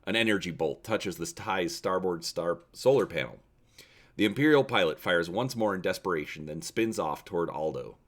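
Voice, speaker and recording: Low-voiced man, very animated storyteller. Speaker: channel host and story reader. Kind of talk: presenter talk and dramatic storybook narration.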